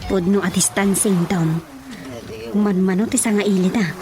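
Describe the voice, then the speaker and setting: An actress playing a chatty, gossipy village woman in an Ilocano radio drama comedy, with an Ilocano accent and an animated, familiar delivery. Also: mid-range voice, moderate pace, over music, close to an everyday voice. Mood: casual.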